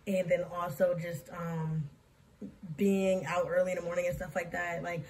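A young woman with a hoarse, scratchy voice, chatting casually in a rambling way through a life-update vlog, recorded on a phone at arm's length in a small room. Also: on the low side, level tone, normal speed, distant mic. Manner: rambling, filler-heavy, talks with her hands. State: hoarse, losing her voice. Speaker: vlogger and daycare lead teacher. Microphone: phone camera at arm's length.

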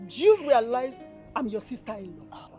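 A woman's voice: on the high side, sing-song, moderate pace, soft background music. A woman playing a fiery scornful young woman. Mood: angry. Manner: scolding, indignant. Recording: dull lo-fi audio.

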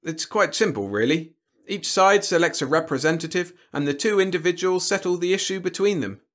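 A man voicing a cool, sly diplomat in an audiobook, speaking in a measured, dryly formal way. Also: sits high, lively intonation, a bit bright, pitched above the performer's own voice. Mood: matter-of-fact. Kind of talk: audiobook character dialogue.

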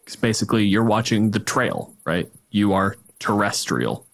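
A man's voice: fairly low pitch, moderate pace, a bit bright. A man running a tabletop role-playing game as game master, narrating with descriptive, dramatic phrasing. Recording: music bed under the voice. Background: background music.

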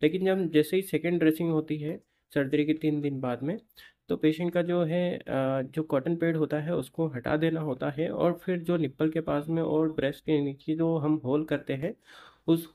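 A young man, a clinic doctor, steadily explaining a patient's post-surgery result to the camera with filler pauses in a clinic room. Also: a little high, steady tone, warm tone.

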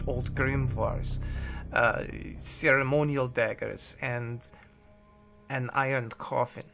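A man's voice: mid-range voice, vocal fry. A man voicing a terrified Nazi fugitive in a horror story, with a German accent and a whimpering, stammering delivery. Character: terrified Nazi fugitive, thin, wild-eyed man, near hysteria.

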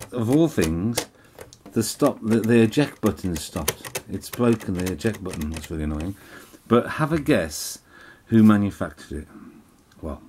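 A man's voice: low voice, darkish tone, a little hiss or hum. A middle-aged English man vlogging from his living room sofa, chatty and rambling with lots of fillers and restarts. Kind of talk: casual vlog chat to camera. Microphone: camera in front, close framing.

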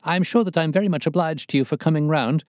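Guy putting on a jittery fat man for an audiobook, pitched up high, loud n pleading, scared and dodgy. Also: racing, dry recording, clean studio sound, lightly acted. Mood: agitated relief. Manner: nervous, pleading, agitated. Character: very fat, frightened, jangle-nerved man, anxious and evasive. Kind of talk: audiobook character voice.

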